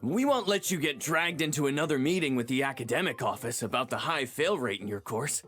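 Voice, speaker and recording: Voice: mid-range voice, animated, fairly quick, fairly bright tone, faint music behind. An actor playing a nervous, eager young art student in a game, hesitant and flustered. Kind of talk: dramatic game dialogue.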